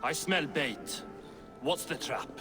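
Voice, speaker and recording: An actor playing a gruff, foul-mouthed and sardonic dwarf master blacksmith in a video game, blunt and mocking as he haggles. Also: on the high side, animated, takes it slowly, music in the background. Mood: proud, wary. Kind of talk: video game cutscene acting.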